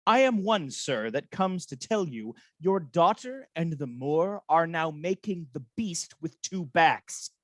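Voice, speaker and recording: A man's voice: on the high side, expressive, takes it slowly, few pauses, a bit bright, no room sound. A man playing a bitter, scheming military ensign in a Shakespeare radio play, theatrical and sardonic. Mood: mocking. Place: recorded at home over a video call.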